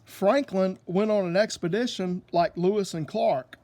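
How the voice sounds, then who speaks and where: A man narrates a children's storybook aloud. His voice is notably high for a man, and he reads the scripted text as a storyteller. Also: unhurried, a little mellow. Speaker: storybook reader.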